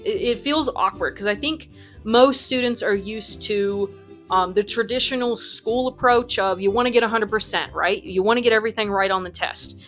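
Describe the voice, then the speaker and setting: A woman's voice: mid-range voice, normal speed, fairly bright tone, soft background music. A young adult woman from the United States, a Spanish teacher who runs her academy, answering interview questions in a chatty way full of ums and likes.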